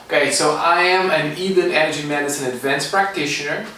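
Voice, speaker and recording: A middle-aged man from Portugal with a Portuguese accent, giving an informal online class in halting, non-native English as an energy medicine teacher. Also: on the high side, sharp tone. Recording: echoey room, distant microphone.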